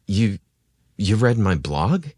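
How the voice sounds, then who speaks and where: A man's voice: lowish voice, very animated, takes it slowly, darkish tone, slightly rough, no echo, exaggerated voice. An awkward, lovestruck male writer in a romance storybook, played by a male narrator. He is eager and easily flustered, with a hesitant delivery in a dry, close recording. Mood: stunned. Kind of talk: romantic audio storybook acting.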